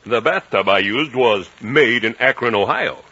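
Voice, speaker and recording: A breezy, skeptical American fellow, husky yet forceful, with an American accent. His folksy talk comes through a dull old radio drama recording. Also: medium pitch, animated, moderate pace. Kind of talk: 1950s radio drama acting.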